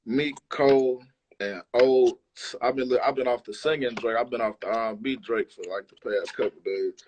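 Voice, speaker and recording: A man's voice: mid-range voice, normal speed, darkish tone, lo-fi sound, a little hiss or hum. A man chats casually as an interview guest, using heavy slang and profanity and repeating himself for emphasis. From Chicago, United States. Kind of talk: casual chat.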